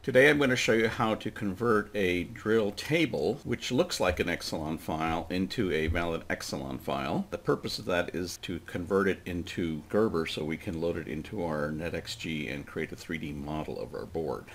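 A man presenting an informal step-by-step software screencast, explaining plainly and thinking aloud as he demonstrates. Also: low voice, moderate pace, hardly any pauses. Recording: clearly noisy desk recording with typing.

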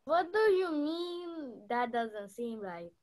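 Young woman as a very high-voiced haughty lady. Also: very animated, slow, darkish tone, slight background noise, exaggerated voice. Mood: indignant. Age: young adult. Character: haughty, indignant lady of the house. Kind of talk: radio play acting.

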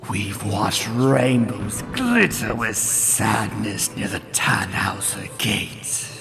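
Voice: mid-range voice, expressive, takes it slowly, gravelly. A performer voicing a sinister storm cloud monster in a comic dub, gloating over sadness in a menacing, taunting half-whisper. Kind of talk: comic dub villain acting. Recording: voice over background music.

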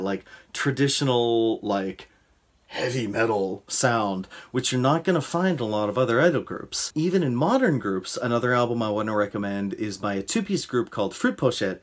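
Relaxed man recommending albums over video call. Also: medium pitch. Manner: relaxed, conversational, uses fillers. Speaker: music producer, guest. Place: indoor room. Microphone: video call webcam.

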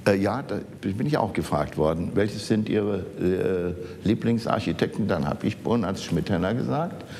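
An elderly man from the Saarland in Germany reminiscing in conversation, haltingly searching for words. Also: expressive, a little mellow. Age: older, 70s or 80s. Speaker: architect interviewed as an eyewitness. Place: large office archive room, indoors. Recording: echoey room with rumbling background noise.

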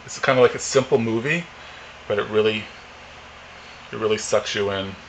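A man's voice: lowish voice, normal speed, a bit bright, a little hiss or hum, poor audio. A man in his forties, chatting casually and rambling with many fillers while unboxing at home. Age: 40s. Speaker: vlogger. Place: home living room, indoors.

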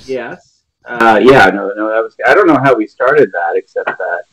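Joking, animated middle-aged man. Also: mid-range voice, normal speed, breathy edge, rough recording. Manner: joking, dry teasing. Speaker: veterinarian on a panel. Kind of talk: casual banter. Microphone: earbuds on video call.

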